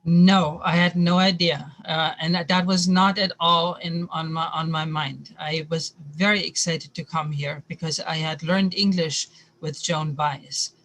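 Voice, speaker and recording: Man from Chile raised in Germany, even-toned. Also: fairly high pitch, unhurried, slight background noise. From born in Chile, raised in Germany. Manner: thoughtful, with many fillers and restarts. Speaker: interview guest. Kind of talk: casual interview conversation.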